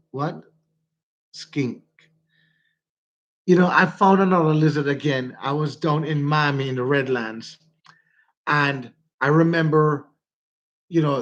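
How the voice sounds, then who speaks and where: A man's voice: a little high, fairly bright tone. Stuffy-nosed man chatting. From Jamaica. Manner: rambling, chatty, laughs often while telling stories. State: stuffy nose. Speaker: vlogger. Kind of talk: casual livestream chat. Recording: dull lo-fi phone audio.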